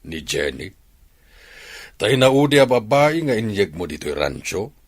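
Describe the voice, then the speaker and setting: An actor playing a stern older father, a rancher, who lectures his son gravely in a radio drama. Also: fairly low pitch, big pitch swings, unhurried, heavily acted.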